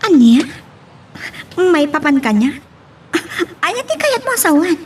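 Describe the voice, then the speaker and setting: A woman's voice: sits high, big pitch swings, measured pace, slight background noise. A woman voice-acting a coy, puzzled young woman being courted in an Ilocano love drama.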